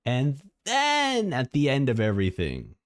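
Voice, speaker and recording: A man's voice: medium pitch, huge pitch swings, a little slow. A man chatting casually and thinking aloud as a live streamer reacting to game trailers. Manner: rambling, informal, thinking aloud.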